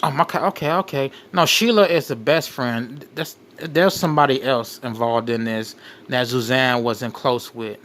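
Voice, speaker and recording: Male host, mid-pitched, reads documents aloud and comments freely, halting and repetitive, faintly noisy recording. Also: fairly bright tone. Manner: halting, repeats and rephrases phrases. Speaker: late night show host.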